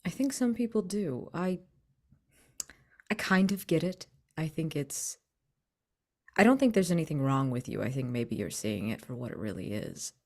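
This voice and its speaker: A woman playing a gentle, earnest young man in a tabletop game, hesitant and thoughtful, nervously polite. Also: low-pitched, lively intonation, normal speed, pauses often. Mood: thoughtful, reassuring. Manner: hesitant, thoughtful, with false starts. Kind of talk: in-character tabletop roleplay.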